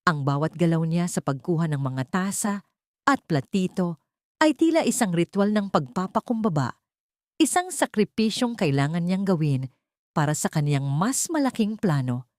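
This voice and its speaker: Woman narrating a dramatic story, very expressive pitch swings, dry close recording, solemn. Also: moderate pace, dry recording.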